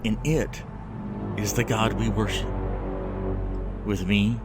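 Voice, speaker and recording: A man voicing a deep-voiced, solemn doomsday worshipper. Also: steady tone. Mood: anguished resolve. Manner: solemn, measured, theatrical. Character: fervent, fatalistic last survivor worshipping a machine god. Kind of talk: dramatic first-person monologue in audio drama. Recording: scary music behind the voice.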